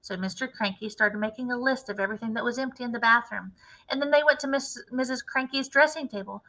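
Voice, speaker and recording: A woman teacher reading a children's storybook aloud with expressive narration. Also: on the high side, fast, poor audio.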